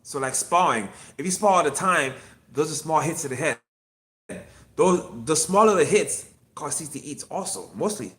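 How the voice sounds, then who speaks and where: A man's voice: on the high side, lively intonation, normal speed, fairly bright tone. Rambling fifty-something man on a livestream. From Miami. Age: 50s. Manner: rambling, repeats himself, answers chat viewers. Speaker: acupuncture physician and livestream host. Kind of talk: casual solo livestream. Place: his clinic.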